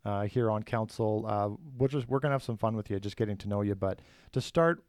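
A man's voice: fairly low pitch, brisk, darkish tone, no echo. A man hosting a podcast, his voice in the middle range. He talks in an upbeat, chatty way, full of "uh" and "you know". The sound is dry and close. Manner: upbeat, chatty, many fillers. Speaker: podcast host.

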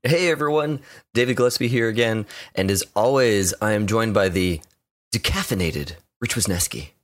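A man hosting a gaming podcast in casual chat, lively and quick to laugh. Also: on the low side. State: recovering from a sore throat. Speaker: podcast host.

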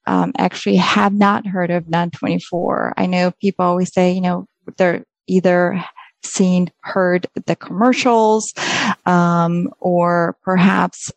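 A middle-aged woman from Poland with a Polish accent, a native Polish speaker, giving an informal educational presentation in a hesitant, halting way. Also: mid-range voice, darkish tone, dry recording.

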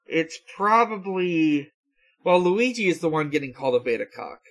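A man's voice: a little high, very animated, moderate pace, a bit bright. A man in his twenties in casual podcast chat, hesitant and thinking aloud as he goes. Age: 20s. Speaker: podcast co-host.

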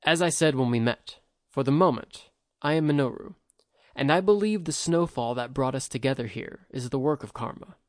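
A calm, guarded monk, read by a man in near his own voice, measured and formally polite. The reading is dry and close, with an even mid-range voice. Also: mid-range voice, dry recording, lightly acted.

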